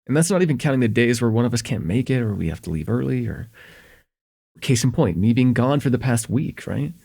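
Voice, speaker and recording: A man playing a shy, smitten young student in an intimate ASMR roleplay, with an earnest, hesitant delivery and a husky, slightly gravelly voice. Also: mid-range voice, animated, fairly quick. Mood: wistful, earnest. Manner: hesitant, earnest, stammering, chuckling softly between phrases.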